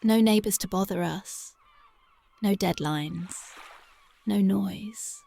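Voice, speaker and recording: A voice actress playing a young punk girlfriend, speaking softly and whispering in a dreamy, intimate, affectionate ASMR roleplay close to the mic. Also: mid-range voice, slow, vocal fry. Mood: tender dreamy. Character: young punk woman, tender devoted girlfriend.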